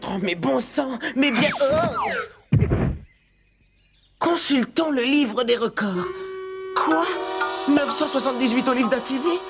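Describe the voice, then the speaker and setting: Cartoon schoolboy: very high voice, smug little genius and loner, pompous self-talk over music. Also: very animated, takes it slowly, long pauses, full character voice. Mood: flustered. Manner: pompous, muttering to himself. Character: self-important, solitary schoolboy who thinks himself a genius. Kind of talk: French cartoon dubbing. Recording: music playing behind the voice.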